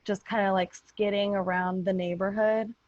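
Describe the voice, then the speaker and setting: A woman's voice: mid-range voice, flat delivery, moderate pace, a little mellow, no echo, lo-fi sound. A young American woman chatting casually, with slangy, freely swearing talk. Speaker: podcast cast member. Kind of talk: casual chat.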